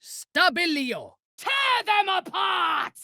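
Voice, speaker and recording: An actress playing a proud, ruthless drow noblewoman warrior in a fantasy video game, speaking formally and imperiously in a husky, breathy voice. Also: very high voice, sing-song, pushed and bright, dry recording, shouting, clean studio sound, full character voice. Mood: fierce casting. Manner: formal, imperious, measured delivery.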